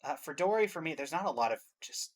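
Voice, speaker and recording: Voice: medium pitch, expressive, quick pace. A host giving casual, opinionated fan commentary in a reaction show.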